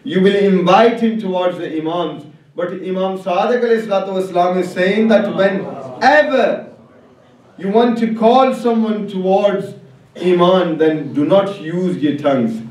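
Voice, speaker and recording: A male preacher delivers a sermon from a little way off in a heavily reverberant hall. His pace is slow and deliberate, and he leans emphatically on repeated phrases as he addresses the congregation. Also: sits high, a little mellow. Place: large echoing religious hall. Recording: very echoey hall, distant mic.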